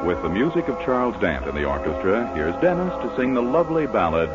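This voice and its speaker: A man's voice: lowish voice, very animated, fast, highs cut off, loud music behind the voice. An American man announcing in a scripted, emphatic 1940s radio sales style on an old broadcast recording.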